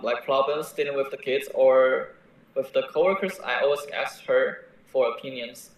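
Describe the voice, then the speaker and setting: A man's voice: medium pitch, a bit bright. A young man whose first language is Mandarin, speaking hesitantly and padding his answers with fillers. He sounds far from the mic in a very echoey room with a faint hiss behind him. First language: Mandarin Chinese. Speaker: interviewee, language teacher. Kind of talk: answering interview questions.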